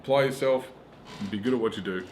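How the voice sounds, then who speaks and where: A man's voice: mid-range voice, fairly quick, darkish tone, a little hiss or hum. A middle-aged Australian man, a stand-up comedian, chatting casually and swearing freely as he tells emphatic stories. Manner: profane, emphatic storytelling with acted-out quotes. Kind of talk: casual chat.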